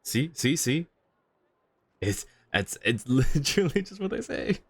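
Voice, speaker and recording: A man livestreaming a game, casually commenting and joking with viewers in a halting, self-interrupting way. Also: a little high, sing-song, husky, no room sound.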